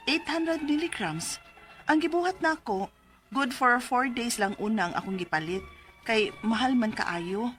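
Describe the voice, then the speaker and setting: A woman's voice: medium pitch, a bit bright. An actress playing a worried middle-aged Cebuano mother, reading her letter of troubles in an anxious, questioning, emphatic way for a radio drama.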